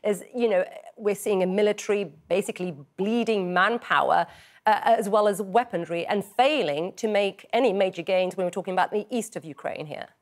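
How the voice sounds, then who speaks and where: A woman's voice: medium pitch, a little fast. A woman speaking as a news reporter in a live report.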